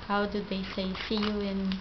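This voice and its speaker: A Filipino woman whose first language is Tagalog, teaching basic words slowly and repeating each one twice. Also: medium pitch, completely flat delivery. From Philippines. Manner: slow, halting, repeats each word twice. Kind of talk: home video language lesson. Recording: dull lo-fi home video with background noise.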